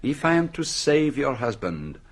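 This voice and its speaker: Brief: shrewd foreign detective in radio mystery, courteous but probing, husky, very lively intonation. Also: male voice, mid-range voice, unhurried, exaggerated voice. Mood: courteous, coaxing. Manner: formal, probing, ends statements with questions. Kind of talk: radio drama acting.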